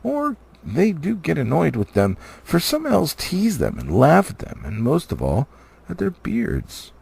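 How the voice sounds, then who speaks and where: A man reading a fantasy storybook aloud in an animated, expressive way, stumbling now and then. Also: fairly high pitch, measured pace, gravelly, breathy edge. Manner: animated, slightly stumbling cold read. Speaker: amateur audiobook reader.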